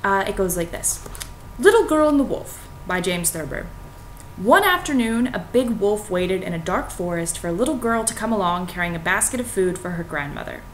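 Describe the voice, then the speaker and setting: Young adult American woman, mid-pitched, informal and wry vlogger delivery, recorded on a webcam with faint noise. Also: expressive, normal speed. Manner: informal, wry, hedging with "like" and "you know". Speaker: vlogger reading and analysing a fairy tale. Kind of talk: casual spoken commentary to camera. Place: small home kitchen, indoors. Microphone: computer webcam at arm's length. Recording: noisy home webcam recording, low rumble.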